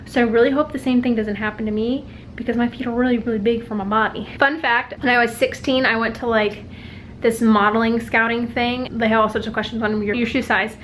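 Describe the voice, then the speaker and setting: A woman's voice: fairly high pitch, steady tone, fairly quick, slight background noise, poor audio. Chatty young woman vlogging at home. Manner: chatty, upbeat, rambling with many likes. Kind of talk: casual vlog chat. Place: at home and out and about. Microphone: handheld compact camera.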